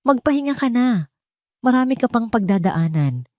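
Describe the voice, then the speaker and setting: A woman voicing a cold, scheming ex-wife with a sweet, soft manner and veiled menace for an audio drama. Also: on the high side, big pitch swings, moderate pace, no room sound, clean studio sound, voice pushed up. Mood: softly menacing.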